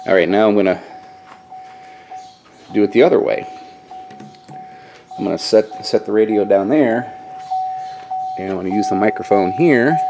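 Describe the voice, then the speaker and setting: A middle-aged man giving a casual hands-on walkthrough in a folksy, colloquial way, recorded on a handheld camera. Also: lowish voice. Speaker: DIY vlogger. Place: in and around a pickup truck at a garage. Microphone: handheld camera mic. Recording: noisy handheld camcorder audio.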